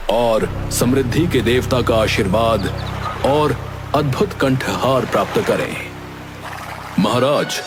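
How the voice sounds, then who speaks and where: A man voicing a cunning, vengeful cartoon monkey who speaks formally, measured and persuasively. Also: mid-range voice. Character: cunning vengeful male monkey, smooth-talking and deferential to kings. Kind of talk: scripted cartoon story dialogue in formal Hindi.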